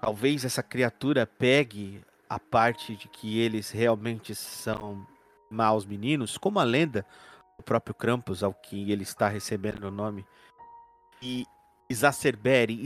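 A man as an earnest, halting detective. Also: on the low side, a bit bright, faint music behind. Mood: pondering. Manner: deliberate, halting, formal phrasing. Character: earnest, formal, uneasy male detective in horror roleplay.

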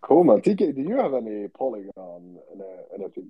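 A man's voice: mid-range voice, sing-song, a little fast, slightly rough, no echo. A man hosting a casual chat, with upbeat, slangy banter. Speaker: host. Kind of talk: casual chat in an online audio space. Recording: dull lo-fi sound.

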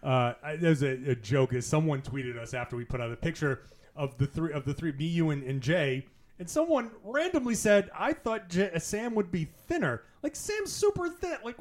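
A man's voice: a little high, big pitch swings, moderate pace. A man hosting a casual podcast in person, chatty and laughing often, with frequent restarts. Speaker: podcast host. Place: in-person recording in Las Vegas.

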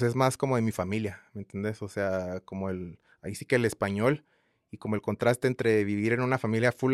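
Laid-back Guatemalan man, low voice. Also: smooth, dry recording, studio quality. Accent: Guatemalan Spanish. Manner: relaxed rambling with many fillers and repeated tags. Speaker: guest singer. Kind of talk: casual interview chat.

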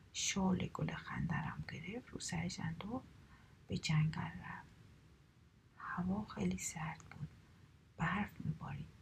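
A woman's voice: on the low side, gravelly. Woman whispering a fairy tale. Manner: whispers throughout, slow and soft. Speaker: storyteller. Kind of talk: whispered storytelling, ASMR.

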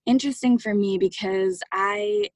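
A woman's voice: mid-range voice, unhurried. A young woman talks through her answers as an interview guest. She is casual and chatty, leaning on "like" and "um" as she goes. The sound is dry and close, with no room echo. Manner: chatty, many "like" and "um" fillers.

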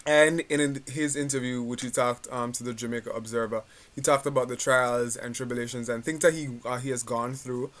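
A man co-hosting a podcast, animatedly retelling a news story with many pauses. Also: medium pitch, steady tone, fairly bright tone. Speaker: podcast co-host. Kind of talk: casual chat, recounting news.

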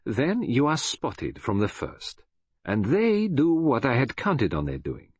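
Guy doing a polite clever detective for an audiobook, calm and sure, slightly husky, very sing-song. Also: medium pitch, slow pace, no echo, voice pushed up, exaggerated voice. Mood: pleased, explaining. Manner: gentle, precise, self-assured. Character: gentle, self-possessed foreign male detective, courteous and shrewd. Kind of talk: audiobook character voice.

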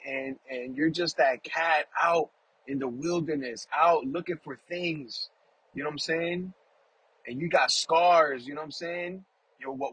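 Husky high-voiced man musing warmly. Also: low-quality recording. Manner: rambling, fills with "you know what I'm saying"; affectionate, warmly emotional about family. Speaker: podcast host. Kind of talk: casual heartfelt faith talk.

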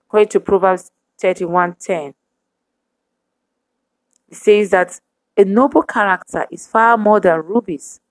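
A woman preaching a sermon to women, speaking in measured, emphatic repetitions. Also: medium pitch, no echo, low-quality recording.

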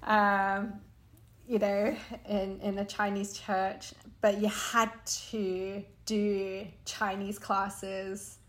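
A woman answering interview questions in a hesitant, conversational way, with many pauses and frequent laughs. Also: medium pitch, flat delivery, a bit bright. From United Kingdom. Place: Hong Kong.

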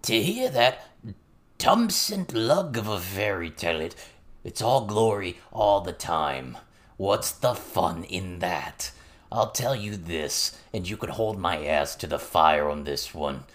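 A man's voice: low-pitched, lively intonation, slow. A man voicing a crude, boisterous old male goblin who tells stories in a blustering, mocking way.

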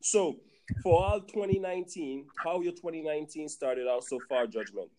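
A man hosting a casual podcast, upbeat and encouraging, with quick interjections to his guest. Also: a little high, crowd noise, poor audio. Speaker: podcast host. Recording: faint background noise.

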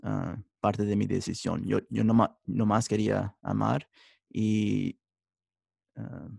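Man, young adult, gravelly, flat pitch, halting with restarts, dry sound. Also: dry recording. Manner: halting, many restarts and fillers. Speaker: religious brother, studying for priesthood. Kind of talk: interview answers.

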